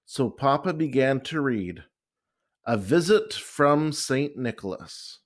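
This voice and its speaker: A man reading a children's picture book and verse aloud with expressive, measured, dramatic phrasing. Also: medium pitch. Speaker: children's story reader.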